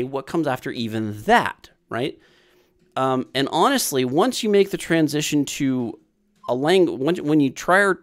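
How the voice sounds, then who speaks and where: A man in his thirties hosting a casual programming livestream Q&A from a small room on webcam, rambling and repeating himself with lots of fillers. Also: on the high side.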